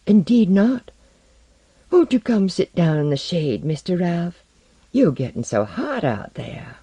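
A reader voicing a coy, stubborn Southern belle with a soft, breathy, lilting Southern US accent. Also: medium pitch, sing-song, unhurried, no room sound, pitched above the performer's own voice, heavily acted. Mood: coy, demure.

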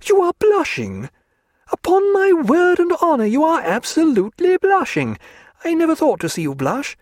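A male audiobook narrator voicing a lively, teasing young English lady with a gushing manner. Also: normal speed, dry recording, clean studio sound, full character voice. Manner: gushing, teasing, high-spirited.

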